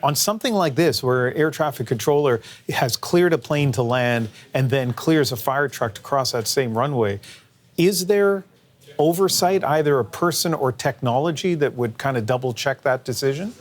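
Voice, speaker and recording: A man's voice: medium pitch, lively intonation, normal speed. Conversational male news interviewer.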